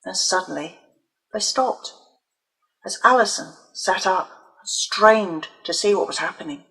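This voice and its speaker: A woman reading a children's novel aloud as its author, in dramatic, deliberate audiobook narration. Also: mid-range voice, vocal fry, bright, forceful tone, slightly rough, poor audio.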